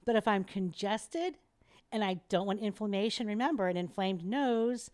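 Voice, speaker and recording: A middle-aged woman, a guest airway specialist, explaining and demonstrating in a lively, teacherly way during a podcast interview. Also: mid-range voice, normal speed.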